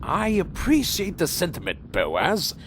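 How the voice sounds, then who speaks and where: A man plays a weary vampire war leader with a notably high voice that moves expressively. He is formal, proud and brooding, a dutiful winged commander from a fantasy audio drama, heard over faint noise. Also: wildly swooping pitch, unhurried, fairly bright tone, full character voice. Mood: weary, resigned. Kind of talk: fan audio drama narration and dialogue.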